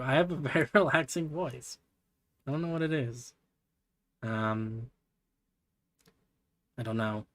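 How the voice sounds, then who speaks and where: Soft-spoken man rambling on stream. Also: mid-range voice. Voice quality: soft, relaxing voice. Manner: rambling, self-deprecating, many fillers. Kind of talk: casual livestream chat while building.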